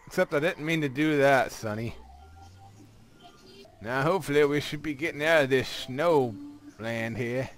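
A man's voice: medium pitch, normal speed, pauses often. A man playing a doddering old grandpa with bad eyesight in a game roleplay, drawling and folksy. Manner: folksy, drawling, calls others boy and sonny.

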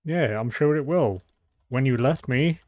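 A man's voice: medium pitch, measured pace, a little mellow, dull audio. A man doing casual, sweary gaming commentary, exasperated and sarcastic. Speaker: let's play streamer. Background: game music.

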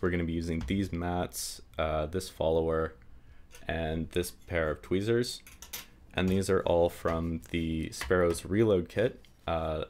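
A man giving a casual hands-on tutorial, rambling with many fillers and self-deprecating asides, sounding slightly stuffy from a cold. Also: low voice. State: stuffy, getting sick. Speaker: hobby lockpicker demonstrating. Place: indoors at a table.

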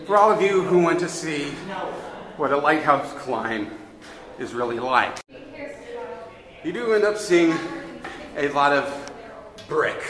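A man chatting casually and good-humoredly as he narrates a sightseeing climb to his handheld camera, speaking while exerting himself. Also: on the high side. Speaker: amateur vlogger filming a sightseeing climb. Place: inside a narrow brick lighthouse stairwell. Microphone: handheld camera held by the speaker. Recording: echoey stairwell, long reverb, clear noise.

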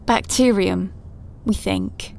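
A measured doctor reports precisely in a medium-pitched voice. She stays clinical and calm, with faint noise behind, in an audio drama. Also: vocal fry.

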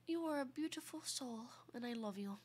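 A woman's voice: fairly high pitch, expressive, brisk, darkish tone, breathy edge. A congested, nasal-sounding woman chatting casually and playfully with viewers as a livestreamer, giggly and affectionate. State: congested from illness. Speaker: streamer.

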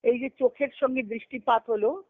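A woman giving a devotional discourse on a conference call, telling stories earnestly and slowing down to recite scripture verses. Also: on the high side, fairly even intonation, dry recording. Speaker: spiritual discourse speaker. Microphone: phone on a conference call. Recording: tinny phone line, dry.

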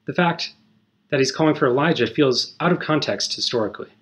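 A man in his thirties giving a measured, scripted short sermon in a small indoor room. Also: medium pitch. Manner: measured, explanatory, reads scripture aloud.

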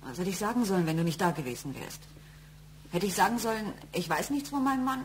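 A woman's voice: mid-range voice, lively intonation, quick pace, close to an everyday voice. An actress playing a protective, aggrieved wife and mother in a German radio crime drama, over some hum. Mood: hurt, accusing.

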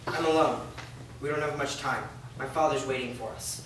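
A man's voice: mid-range voice, fairly even intonation, moderate pace, a bit bright, natural voice. A man playing an earnest, protective teenage boy in love in a staged script reading, reassuring in manner. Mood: urgent, reassuring. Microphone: far camera. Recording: echoey room, distant mic, noisy.

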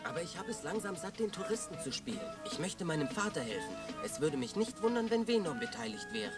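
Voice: mid-range voice, very animated, fast, natural voice. A performer playing an eager, restless young boy in an eighties cartoon dub. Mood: bored, eager. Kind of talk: 1980s cartoon dub acting. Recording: distant old cartoon soundtrack under music.

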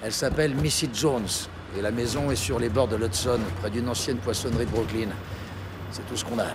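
An actor playing a streetwise police detective in a French audio drama, direct and businesslike. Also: male voice, medium pitch, steady tone, fairly quick, background noise, natural voice. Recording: music and urban ambience behind.

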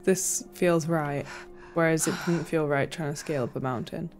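A woman's voice: low voice, steady tone, normal speed, darkish tone, soft background music. A young woman giving casual, playful, chatty commentary while she plays a video game. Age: young adult. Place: small indoor room at home.

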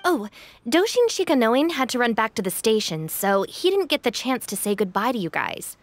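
A woman's voice: fairly high pitch, big pitch swings, normal speed, dry recording. A woman voicing a sensible, level-headed young gang deputy in a video game. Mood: matter-of-fact. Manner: level-headed, matter-of-fact.

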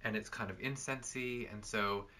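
Bright-voiced young man chatting. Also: on the low side, moderate pace. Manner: chatty, lots of fillers, animated. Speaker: perfume reviewer, co-host. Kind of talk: casual chat reviewing perfumes. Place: cluttered indoor room with bookshelves.

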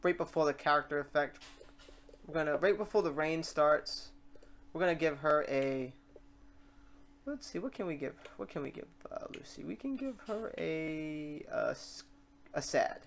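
A tutorial host talks through software steps in a voice of middling pitch. The delivery is informal and rambling, with the speaker thinking aloud while working on screen. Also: lively intonation, normal speed. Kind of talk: casual software tutorial narration. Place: voice-over for a screen recording.

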